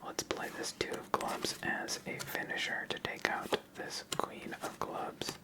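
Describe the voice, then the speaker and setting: ASMR host for solo card game play-through, fully whispered delivery, explaining each move. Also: a little slow.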